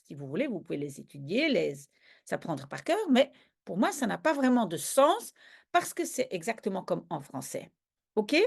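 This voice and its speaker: A native Dutch-speaking woman explains grammar patiently, one step at a time. Her pitch rises and falls a lot, and she keeps asking if her listeners are following. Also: very animated, moderate pace, creaky, husky. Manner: patient, step-by-step, checks understanding often. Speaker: language teacher. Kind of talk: explaining grammar in a video lesson.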